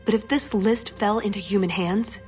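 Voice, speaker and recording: A woman's voice: mid-range voice, moderate pace, a little mellow, lightly acted. A woman playing an earnest, wry young elf agent in a dramatic video game scene. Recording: music behind the voice.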